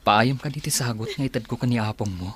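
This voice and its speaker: A man's voice: medium pitch, quick pace, a crowd in the background, natural voice. An actor with an Ilocano accent playing a secretive, evasive young businessman, earnest and placating, in a radio drama. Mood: firm, reassuring.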